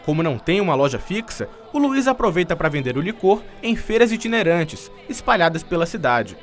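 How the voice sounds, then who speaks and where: Brief: male TV reporter, high and animated voice, scripted narration. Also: brisk, faint music behind. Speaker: TV news reporter.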